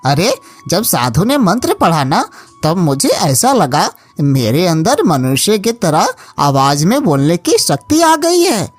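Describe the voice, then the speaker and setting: A woman's voice: on the low side, sing-song, slow pace, no echo, faint music behind, exaggerated voice. A woman voicing a friendly, loyal talking monkey in a cartoon moral story, chatty and full of exclamations. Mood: eager, delighted.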